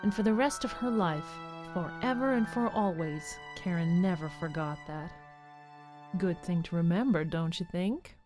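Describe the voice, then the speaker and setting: A woman narrating an audio drama as a gentle, earnest storybook storyteller. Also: fairly low pitch, moderate pace, lightly acted. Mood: warm storytelling. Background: music.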